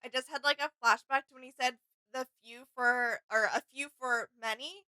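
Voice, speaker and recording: A woman's voice: high-pitched, steady tone, moderate pace, bright, forceful tone. A woman co-hosting a reaction video, chatting casually and tentatively as she thinks aloud.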